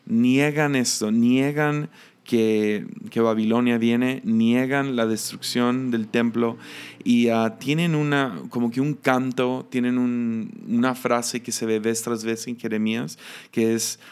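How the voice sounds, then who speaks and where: A man's voice: medium pitch, fairly even intonation, slow pace, darkish tone, smooth. A man giving an informal Bible lesson, hesitant, with many filler sounds and restarts. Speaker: Bible teacher.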